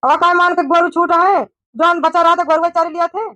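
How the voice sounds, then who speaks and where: A woman plays a young village brother-in-law in a cartoon comedy. The voice is very high and recorded dry, with no room around it. He chats and explains helpfully in an Awadhi accent. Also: level tone, a little fast, sharp tone, no echo. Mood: explaining.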